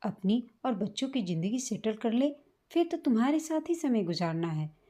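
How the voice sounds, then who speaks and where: A woman's voice: on the high side, expressive, moderate pace, dark tone. A woman voicing a caring older husband in a dramatic story, speaking in a coaxing, earnest way. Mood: earnest, placating.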